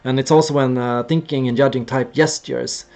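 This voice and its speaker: Gravelly-voiced young Swedish man explaining. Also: medium pitch, fairly even intonation, moderate pace, darkish tone. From Sweden. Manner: earnest, explaining and acting out gestures. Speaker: streamer. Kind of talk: casual explanatory livestream talk.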